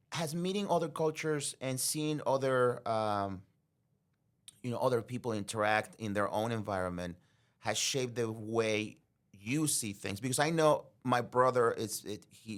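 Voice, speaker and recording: A man hosting a podcast in casual conversation, chatty and quick to affirm his guest. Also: medium pitch. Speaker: podcast host. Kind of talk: casual interview talk.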